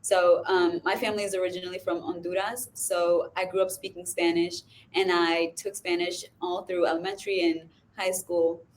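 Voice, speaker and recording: A young woman from New York, a student panelist, speaking in a measured way with pauses on um. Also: lowish voice, flat delivery, a little hiss or hum, poor audio. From New York, USA. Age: young adult. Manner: measured, pausing on um.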